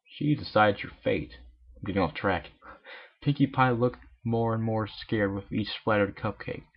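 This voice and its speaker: A man's voice: lowish voice, measured pace, gravelly. A man reading fan fiction aloud in an informal, irreverent way with joking asides, on a muffled home recording.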